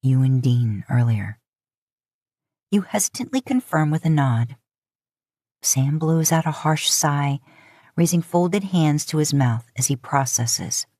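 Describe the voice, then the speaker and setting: A woman's voice: low voice, lively intonation, a little slow, a little mellow, no echo, studio quality. A woman reading scripted fiction as an audiobook narrator.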